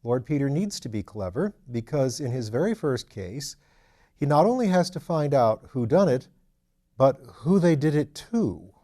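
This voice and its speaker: Mellow man reading a novel aloud. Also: mid-range voice, big pitch swings, moderate pace. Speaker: audiobook reader and program host. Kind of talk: scripted literary narration.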